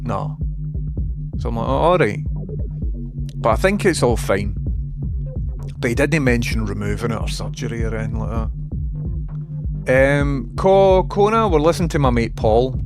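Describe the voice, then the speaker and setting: A man with a Scottish accent chatting informally as a livestream host, rambling as he replies to viewers. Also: mid-range voice. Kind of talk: casual livestream chat, replying to viewers. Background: music playing.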